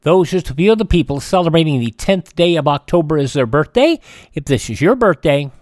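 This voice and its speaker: A man's voice: a little high, animated, darkish tone, no echo, clean studio sound. A man hosting a radio show, reading this-day-in-history items with chatty asides and frequent uh fillers. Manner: chatty, fills pauses with uh. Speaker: radio host.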